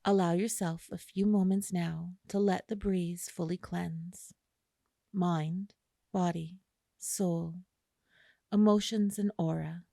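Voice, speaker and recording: A woman guiding a listener through an imagery meditation in a slow, soothing way, with long pauses. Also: lowish voice, steady tone, creaky, dark tone, no room sound, clean studio sound. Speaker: meditation guide. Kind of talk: guided imagery meditation narration.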